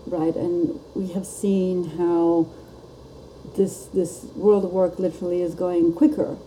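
A woman's voice: fairly low pitch, level tone, slow pace, mellow, slight background noise, poor audio. A woman hosting a live podcast chat, talking casually and laughing often. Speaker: podcast host.

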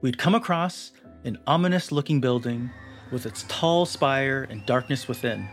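A man's voice: mid-range voice, moderate pace. A young man reading scripted documentary narration with a dramatic storytelling delivery. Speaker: narrator of a feature article. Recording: music bed under the voice. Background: music.

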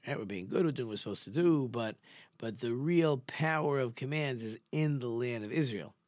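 A man's voice: mid-range voice, normal speed, darkish tone, no room sound. A man giving an informal religious lecture, conversational, with rhetorical questions and emphatic repetition. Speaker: religious teacher giving a Torah class.

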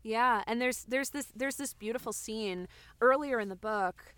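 A smooth, clear, higher-pitched female voice in a dry recording a gushing book lover. She chats effusively and repeats herself for emphasis, an amateur podcaster in an improv comedy. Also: a little slow, fairly bright tone, no room sound. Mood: animated recapping. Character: gushing, bookish female amateur podcaster, excitable writer friend. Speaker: co-host of a book review podcast.

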